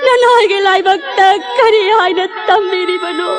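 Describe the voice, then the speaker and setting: A woman's voice: very high voice, racing. A woman playing a devoted, grief-stricken exiled queen in a mythological audio drama, imploring and melodramatic. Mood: despairing, tearful. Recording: studio radio drama with loud music.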